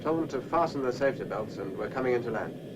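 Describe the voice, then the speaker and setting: An actor playing a grave airline captain giving orders under strain, in 1960s film style over engine drone. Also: male voice, mid-range voice, lively intonation, fast talker, heavy background noise, natural voice. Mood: grave, resigned. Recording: muffled distant film soundtrack, engine drone.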